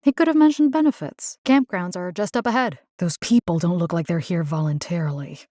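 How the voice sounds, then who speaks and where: A woman's voice: lowish voice, fairly quick, mellow, no room sound, clean studio sound, lightly acted. An actress voicing a wry, determined, compassionate young woman who hunts monsters in a video game, speaking conversationally with muttered asides. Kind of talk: video game character voice lines.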